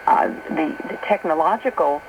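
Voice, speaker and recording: A woman's voice: deep voice, lively intonation, moderate pace, highs cut off. A middle-aged American woman hosting a radio talk show, speaking in a chatty, informal, anecdotal way over a noisy shortwave recording. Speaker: radio host. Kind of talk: conversational late-night radio talk.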